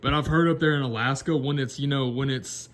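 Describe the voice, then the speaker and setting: A man's voice: medium pitch, fairly even intonation, fairly quick, very noisy. A twenty-year-old man, talking casually to camera in a rambling, unscripted way full of restarts and fillers. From Mississippi, American South. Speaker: vlogger.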